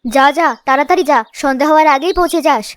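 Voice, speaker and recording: A woman's voice: high-pitched, quick pace, lightly acted. A woman voicing a scheming, irritable village sister-in-law in a cartoon, bossy and slyly coaxing. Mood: sharp, scheming. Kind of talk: cartoon story voice acting.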